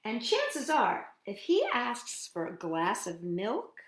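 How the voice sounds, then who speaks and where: A woman hosting storytime, reading a picture book aloud to young children in an animated, playful way with chatty asides. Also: medium pitch, takes it slowly, echoey room.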